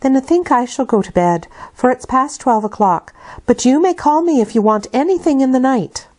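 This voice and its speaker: A woman voicing Bessie, a young, higher-pitched nursemaid. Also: animated, moderate pace. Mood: soft, solicitous. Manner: soft, coaxing, fussing. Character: young English nursemaid Bessie, soft-spoken, anxious, gossipy.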